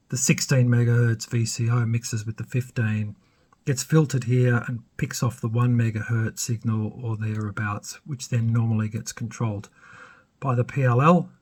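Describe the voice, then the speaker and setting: Husky man casually explaining electronics. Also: medium pitch. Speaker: electronics hobbyist and channel host demonstrating a repair. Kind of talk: casual unscripted technical walkthrough.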